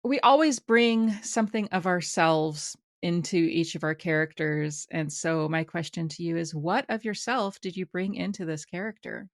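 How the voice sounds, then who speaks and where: A woman's voice: fairly low pitch, normal speed, hardly any pauses, dry recording, clean studio sound. A woman hosting an interview, responding with encouragement and asking questions. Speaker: host. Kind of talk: casual interview.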